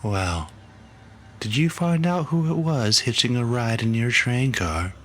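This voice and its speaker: An actor playing a brisk, unimpressed tech tinkerer in a sci-fi audio drama, dry and matter-of-fact. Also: male voice, mid-range voice, animated, a bit bright, slight background noise. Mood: dry, prodding.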